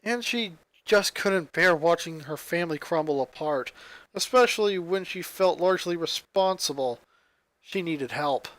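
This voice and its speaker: A man's voice: a little high, animated, a little slow, fairly bright tone, no echo. A man reading a piece of fan fiction aloud as narrator, in scripted story style.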